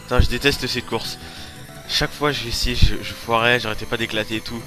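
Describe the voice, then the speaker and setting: A man with a stuffy cold giving excitable, swearing commentary over his own racing gameplay. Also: medium pitch, fairly quick. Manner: excitable, swearing, yelps and laughs while playing. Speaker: let's play host. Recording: game music over voice. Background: loud game music.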